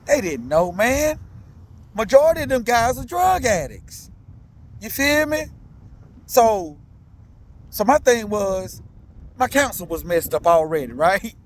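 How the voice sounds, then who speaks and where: A man's voice: high voice, a little slow, pauses often. A father in his thirties or older, telling his own story in candid, slangy talk, repeating himself and asking listeners if they follow. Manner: animated, repetitive, slangy storytelling with many asides to listeners.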